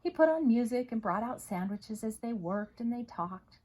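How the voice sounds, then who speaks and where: A woman with a husky voice reading a children's story aloud in an expressive, dramatic way. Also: fairly high pitch, moderate pace. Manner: dramatic, with emphatic repetition and hushed moments. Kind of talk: expressive read-aloud narration.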